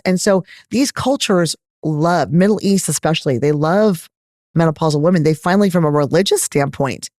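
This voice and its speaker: A middle-aged woman with a Texas accent, a gynecologist answering interview questions in an animated, candid, emphatic way. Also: lowish voice, no room sound, clean studio sound. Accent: Texan. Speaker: gynecologist guest.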